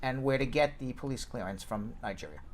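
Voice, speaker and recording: A male host speaks as a lawyer in a medium-pitched voice. He answers casually and off the cuff, keeping things short, blunt and matter-of-fact. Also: lively intonation, brisk. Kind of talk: casual off-the-cuff legal advice.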